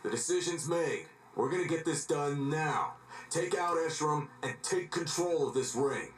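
A man's voice: fairly high pitch, moderate pace, lightly acted. A man playing a resolute, grave squad leader in a video game audio drama, speaking in a firm, clipped, decisive way. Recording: faint noise on audio log.

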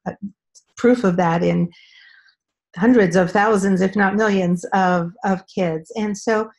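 A middle-aged woman speaking as a guest expert on a video call from a room at home, explaining in a measured way with frequent ums. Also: mid-range voice, steady tone, darkish tone. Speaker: speech-language pathologist guest.

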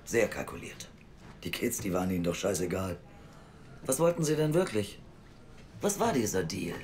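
An actor playing a stern, moralising adoption official who questions formally and reproachfully in an audio drama. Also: male voice, deep voice, very animated, normal speed, darkish tone. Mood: disapproving. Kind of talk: naturalistic German audio drama acting.

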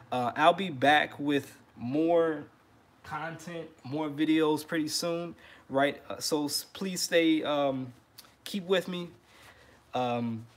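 A man in his thirties hosting a casual trumpet livestream from his living room, relaxed and friendly, often repeating words as he talks. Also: medium pitch. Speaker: trumpet teacher and livestream host.

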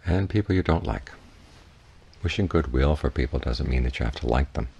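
A man teaching in a guided meditation, giving measured, unhurried instructions with long pauses. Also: very deep voice, lively intonation, vocal fry, darkish tone, slightly rough, dull audio. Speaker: Buddhist monk, meditation teacher.

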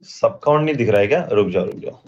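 A man's voice: medium pitch, animated, quick pace, darkish tone, highs cut off. Indian man in noisy gaming voice chat. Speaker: streamer's gaming teammate.

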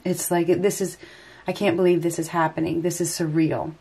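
Lowish-voiced woman with a head cold. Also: flat delivery, moderate pace, mellow, breathy edge. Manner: rambling, hesitant, thinking aloud. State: head cold, stuffy nose. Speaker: tarot reader. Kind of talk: unscripted tarot reading to camera. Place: indoors at a table.

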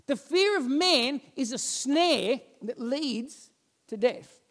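A man, preaching a sermon on a church stage and telling stories with lively gestures while he walks about. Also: very high-pitched, slow. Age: 40s. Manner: animated storytelling, roams the stage gesturing. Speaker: pastor. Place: church stage with band instruments, indoors.

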